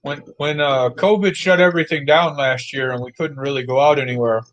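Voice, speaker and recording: A man's voice: mid-range voice, fairly even intonation, fairly quick, a bit bright, highs cut off. A man chatting casually as a guest, easygoing and matter-of-fact as he tells stories. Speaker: guest, commission client. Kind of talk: casual chat.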